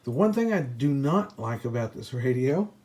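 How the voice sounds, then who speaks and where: A man with a mid-pitched voice talks through a gadget review in a casual, unscripted way. His delivery is hesitant and halting, like a hobbyist explaining gear to viewers. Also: sing-song, darkish tone. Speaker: product reviewer and ham radio hobbyist. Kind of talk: casual product review to camera.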